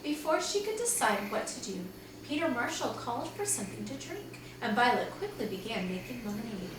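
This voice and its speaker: Lively woman reading aloud, very echoey. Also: on the high side, brisk. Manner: expressive, acts out dialogue with asides. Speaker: second-grade teacher reading aloud to pupils. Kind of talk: children's chapter book read-aloud. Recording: echoey, distant mic, noticeable background noise.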